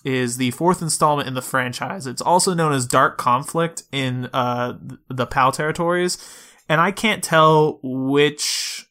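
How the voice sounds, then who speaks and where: A man's voice: mid-range voice, a little slow, pushed and bright. A man co-hosting a podcast, talking informally about video games in a casual discussion. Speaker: podcast co-host.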